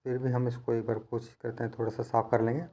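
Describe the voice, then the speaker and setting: A man's voice: mid-range voice, level tone, fast talker, warm tone. Patient man explaining hands-on repair steps. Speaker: phone repair technician giving a tutorial. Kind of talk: hands-on instructional narration, conversational.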